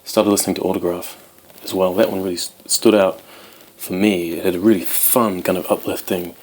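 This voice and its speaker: A man's voice: sits low, steady tone, brisk, darkish tone, low-quality recording. A man in his twenties, born in Wales, chatting casually to camera as host of his guitar channel, rambling with many ums. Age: 20s.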